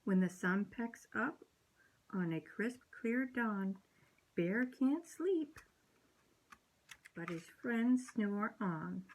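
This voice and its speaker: A woman reads a children's picture book aloud in a slow, unhurried way. Her pitch rises and falls in a lively, sing-song pattern. She slips in playful voices for the animals. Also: a little hiss or hum, low-quality recording. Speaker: storybook reader.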